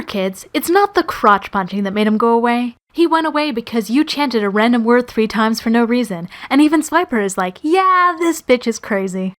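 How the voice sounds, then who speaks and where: A woman with a fairly high voice tells scripted comic stories. Her delivery is sarcastic and given to exaggeration. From Canada. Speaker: storyteller and animator. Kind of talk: scripted comedic storytelling narration.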